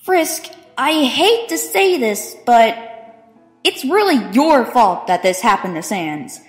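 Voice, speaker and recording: Woman voicing a high, echoing taunting accuser. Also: big pitch swings, takes it slowly, soft background music. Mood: accusing, taunting. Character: accusatory unseen spectator, mock-regretful and taunting. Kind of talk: cartoon comic dub acting. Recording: echoing hall reverb.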